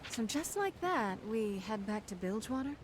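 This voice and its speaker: An actress playing a sharp-tongued, impatient female pirate captain in a video game, sarcastic and brash. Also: mid-range voice, very animated, moderate pace, close to an everyday voice. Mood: irritated. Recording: noisy ambient background.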